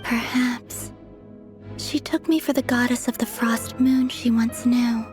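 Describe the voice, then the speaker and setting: A woman playing a serene, enigmatic moon goddess in a video game, soft and poetic. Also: a little high, steady tone, slow. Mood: serene, pensive. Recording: music behind the voice.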